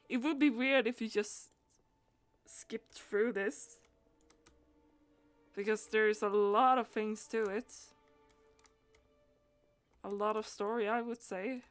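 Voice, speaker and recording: A woman doing casual live gaming stream commentary, thinking aloud hesitantly and letting phrases trail off. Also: fairly high pitch.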